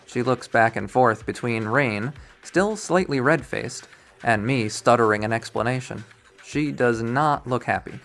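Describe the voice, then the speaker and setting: A narrator of unclear sex reads a story aloud in a medium-pitched voice. Music plays behind the reading, which is scripted narration from a visual novel. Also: a little slow, fairly bright tone.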